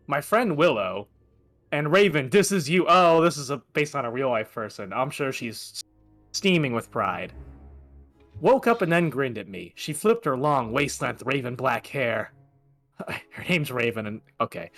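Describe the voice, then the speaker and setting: A man's voice: fairly high pitch, a bit bright. A man reading fan fiction aloud casually, breaking in with amused, sarcastic asides.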